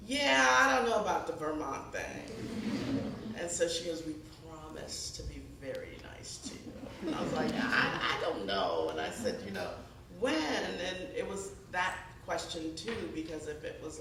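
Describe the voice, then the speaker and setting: Echoey, gravelly-voiced woman telling stories. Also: low-pitched. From born in Ghana, moved to the US at six. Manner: animated storytelling, acts out dialogue. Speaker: guest speaker, author. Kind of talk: conversational public talk with anecdotes. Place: high school event space, indoors. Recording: echoey room, distant mic, background noise.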